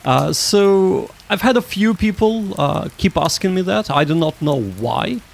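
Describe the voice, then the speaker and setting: A man hosting a livestream, chatting casually with viewers in a halting, rambling way that often restarts sentences. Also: high voice, lively intonation, gravelly. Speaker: livestream host.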